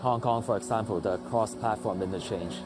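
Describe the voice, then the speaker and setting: A man, speaking English in a thoughtful documentary interview with some hesitant pauses. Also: on the low side. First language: Cantonese. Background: background music.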